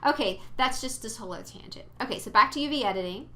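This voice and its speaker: A woman's voice: on the high side, expressive, quick pace, pushed and bright. A woman teaching 3D modeling in a casual screen-recorded tutorial, chatty and rambling with playful exclamations, a bit sniffly. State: sniffly from allergies.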